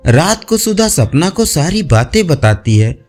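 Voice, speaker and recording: Slow, high-voiced man telling a story. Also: sing-song, a little mellow. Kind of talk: scripted audio story narration in Hindi. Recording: music bed behind voice. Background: background music.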